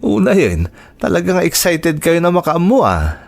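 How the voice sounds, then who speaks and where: A man with an Ilocano accent acting a gentle, devoted father in an audio drama, tender and reassuring. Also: a little high, wildly swooping pitch, normal speed, gravelly, heavily acted. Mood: cheerful. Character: gentle, devoted father of young children, wife working abroad.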